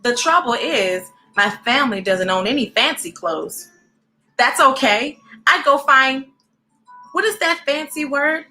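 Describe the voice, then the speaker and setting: Bright, high-voiced woman reading to children. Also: unhurried, soft background music, poor audio. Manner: animated, encouraging, addresses children directly. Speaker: children's read-aloud host. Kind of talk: expressive storybook reading for children.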